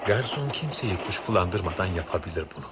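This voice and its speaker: Fast-talking, nervy train plotter. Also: male voice, deep voice, animated, heavy background noise. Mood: thinking, scheming. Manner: urgent, explanatory. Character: nervy male plotter on a train, taking charge. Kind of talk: radio drama acting.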